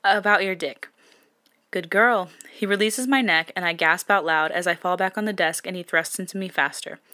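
Bright-voiced woman reading a story softly. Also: on the low side, brisk. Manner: quiet, intimate reading with self-corrections and laughing asides. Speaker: podcast host reading fan fiction. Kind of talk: reading a first-person romance story aloud.

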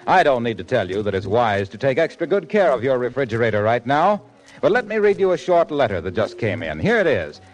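A man's voice: medium pitch, very animated, a little fast. An American man announcing scripted 1940s radio commercials in a polished, formal, salesmanlike way.

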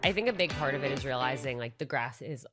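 A woman's voice: a bass voice, expressive, fast, loud music behind the voice. A young woman co-hosting a podcast, talking in a reflective, reassuring way and building long points in casual chat. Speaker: podcast co-host.

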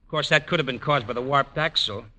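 A man's voice: mid-range voice, fast, lightly acted. An American actor playing a busy, matter-of-fact car repairman in an old radio comedy, with distracted, breezy patter. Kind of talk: 1940s-50s radio comedy acting. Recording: noisy old radio broadcast recording.

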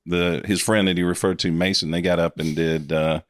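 A middle-aged man, the host's father, telling a story in a slow, deliberate way. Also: sits low, little pitch movement, no echo, clean studio sound. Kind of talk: casual chat, storytelling.

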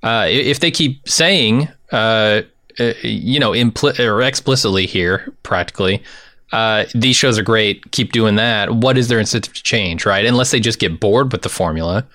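Lowish-voiced skeptical man, close dry sound. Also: normal speed, pushed and bright, dry recording. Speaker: podcast co-host. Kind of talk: casual opinionated TV discussion.

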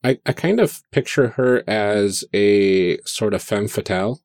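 Low-voiced man thinking aloud hesitantly. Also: a little slow, darkish tone, dry recording, clean studio sound. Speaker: player. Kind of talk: casual tabletop chat.

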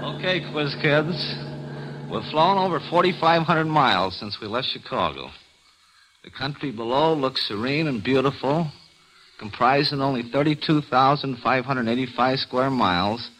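A man's voice: medium pitch, a bit bright, rough recording. A man on a classic radio broadcast, acting as guest quizmaster and reading scripted geography clues in a formal, descriptive way. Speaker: guest quizmaster, travel guide.

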